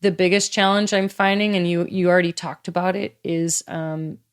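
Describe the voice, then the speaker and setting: A woman in her fifties, a guest in a casual interview, talking in a chatty, lively way and laughing easily. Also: lowish voice, moderate pace, smooth. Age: 50s. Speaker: guest athlete.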